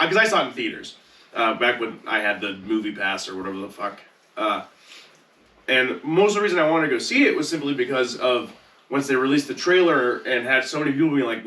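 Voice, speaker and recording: A man's voice: medium pitch, pushed and bright. A man co-hosting a podcast in a rambling, casual, sweary chat style. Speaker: podcast co-host. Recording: roomy echo, distant mic.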